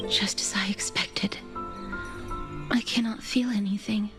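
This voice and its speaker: An actress playing a gentle, sorrowful young woman with a deathly curse, speaking in a soft, whispery, apologetic way. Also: medium pitch.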